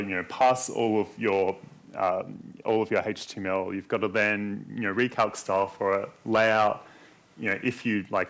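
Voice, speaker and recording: Male tech speaker with a lowish voice, calmly walking an audience through demos. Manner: explanatory, steady walkthrough of code and demos. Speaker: conference speaker. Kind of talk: technical conference talk walking through demos.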